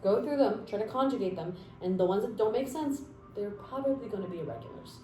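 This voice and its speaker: A woman's voice: medium pitch, brisk, dark tone. A young woman from Mexico, giving a casual spoken language lesson in a chatty, encouraging way with fillers and asides. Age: 20s. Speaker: language teacher. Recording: roomy echo with faint music.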